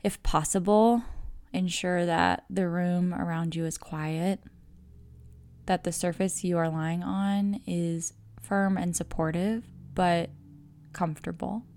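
A woman guiding a relaxation meditation, speaking in a calm, measured, soothing way with pauses between phrases. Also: fairly low pitch, no room sound. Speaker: yoga nidra guide. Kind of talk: guided meditation narration.

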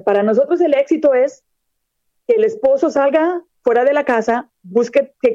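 A woman's voice: fairly high pitch, dark tone, no room sound, lo-fi sound. A middle-aged Mexican woman from northern Mexico hosting a motivational radio show, talking earnestly and encouragingly straight to the listener. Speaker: radio show host and life coach.

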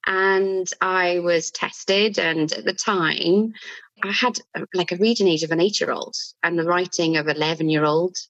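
A woman's voice: on the low side, fairly even intonation, normal speed, fairly bright tone, dry recording. Chatty South Welsh woman, dull audio. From Wales, near Cardiff. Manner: friendly, chatty, a little hesitant with fillers. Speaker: guest, chartered building surveyor. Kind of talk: casual podcast interview.

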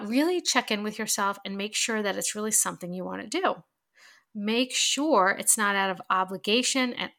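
A woman's voice: medium pitch, sharp tone. A woman giving casual self-help advice to camera, chatty and full of fillers, her voice stuffed-up and nasal from allergies. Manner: chatty, many fillers and restarts.

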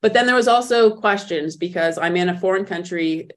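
A woman guest on an interview podcast, recounting her own story in a reflective way, often pausing and restarting as she searches for words. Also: lowish voice. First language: not German; speaks in English.

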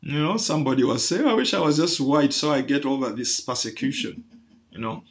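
A man's voice: medium pitch, brisk. A man preaching a sermon, building through rhetorical questions to emphatic peaks.

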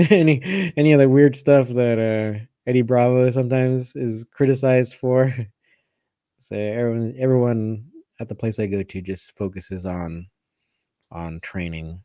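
Male coach for casual livestream Q&A. Warm, unforced voice, halting thinking-aloud delivery, dry acoustics. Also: mid-range voice, no echo. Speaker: catch wrestling coach hosting a live Q&A. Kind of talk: casual live chat answering viewer comments.

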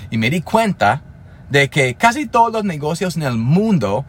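A man's voice: fairly high pitch, big pitch swings, moderate pace. A man in his thirties, a native English speaker talking in Spanish, hosting a solo podcast in an earnest, motivational way with frequent restarts. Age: 30s. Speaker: podcast host.

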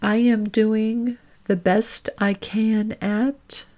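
Slow-paced woman guiding a meditation. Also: on the high side, little pitch movement. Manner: gentle, deliberate, with long reflective pauses. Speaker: podcast host leading guided reflections. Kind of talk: guided meditation and reflection.

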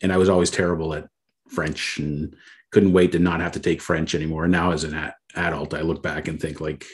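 A man's voice: rumbling low, little pitch movement, brisk. A man from Canada hosting a casual interview over a video call, friendly and rambling, full of fillers. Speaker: interview host.

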